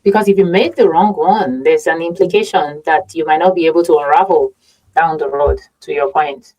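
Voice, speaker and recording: A woman's voice: deep voice, moderate pace, dark tone, rough recording. A woman with a Nigerian accent hosting a conversational interview in a reflective, affirming way. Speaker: podcast host and consultant.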